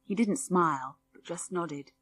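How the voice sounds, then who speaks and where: A woman reading a children's audiobook as narrator, in expressive scripted storytelling. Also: fairly low pitch, a little slow.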